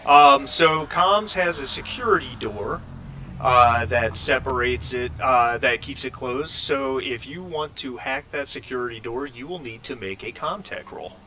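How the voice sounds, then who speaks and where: A man with a bright, forward voice runs a tabletop role-playing game as game master. He picks his way through scenes and instructions with halting deliberation, over some background noise. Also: medium pitch.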